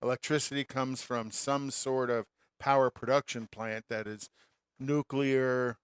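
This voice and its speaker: A man co-hosting an automotive show in casual, folksy chat, sometimes halting as he reads out listings. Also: mid-range voice, fairly even intonation, smooth, dry recording, studio quality. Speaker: co-host of an automotive radio show.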